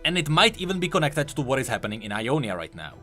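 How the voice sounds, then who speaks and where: Bright, very animated male explainer. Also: medium pitch, fast talker. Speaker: video game lore YouTuber, host. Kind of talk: scripted explainer narration. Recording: music bed under voice-over. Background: background music.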